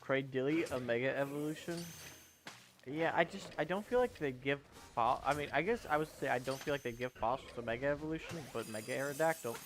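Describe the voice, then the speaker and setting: A man's voice: medium pitch, fairly quick, slight background noise. A twenty-year-old man casually chatting while streaming a game, rambling and answering viewers as he plays. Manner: rambling, self-correcting, answering chat. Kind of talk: casual gaming livestream chat.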